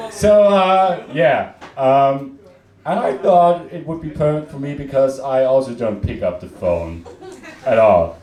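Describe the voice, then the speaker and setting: A young man from Austria with an Austrian accent, German his first language, performing a deadpan, self-mocking stand-up set with many fillers and restarts. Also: mid-range voice, slow, pauses often. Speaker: stand-up comedian. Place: comedy club stage. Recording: roomy club sound from a distant mic, loud crowd.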